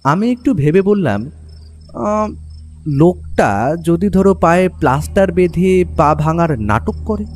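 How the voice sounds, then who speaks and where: A man's voice: a little high, expressive, a little slow, a little mellow, a little hiss or hum. A man with a Bengali accent giving dramatic first-person narration of a detective story. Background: music under the narration.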